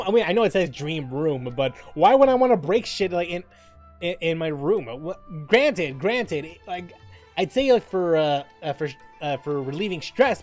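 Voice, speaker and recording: A man with a fairly high-pitched voice talks as a gaming streamer. His delivery is informal and rambling, moving between reading aloud and offhand commentary. Soft music plays in the background. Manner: informal, rambling, mildly profane. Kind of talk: casual stream chat, reading quiz questions aloud and reacting.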